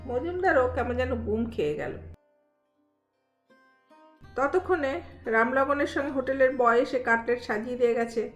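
A woman storyteller reading a literary story as expressive scripted narration. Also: high voice, moderate pace, long pauses, faint music behind. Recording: roomy echo.

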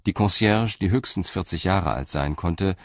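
A man's voice: low-pitched, normal speed, no room sound, clean studio sound. A man reading scripted narration for a crime radio play.

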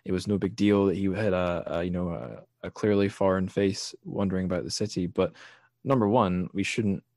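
A man with a low voice hosts a literary discussion, recorded close and dry. He speaks casually, feeling his way through long, discursive thoughts. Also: steady tone, moderate pace, darkish tone, dry recording. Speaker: podcast host.

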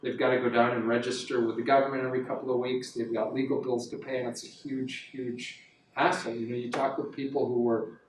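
A man's voice: medium pitch, flat delivery, a little fast, a little hiss or hum. A middle-aged man, a journalist, answering audience questions in a rambling way with many fillers and restarts. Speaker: guest speaker, journalist. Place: university classroom. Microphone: far camera, no mic. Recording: echoey classroom from far off.

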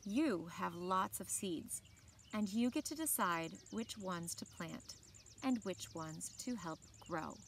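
A woman in her thirties reading aloud to children in an expressive storytelling style, with short asides. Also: fairly low pitch, takes it slowly, a little hiss or hum. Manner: expressive, clearly paced reading to young listeners. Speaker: library story time reader. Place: outdoors on a lawn beside evergreen trees. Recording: outdoor ambience with birdsong. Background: birds and outdoor ambience.

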